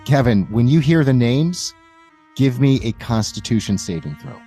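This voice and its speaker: A man narrating a tabletop roleplaying game as game master, in a deliberate, measured way. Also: medium pitch, lively intonation, warm tone, soft background music.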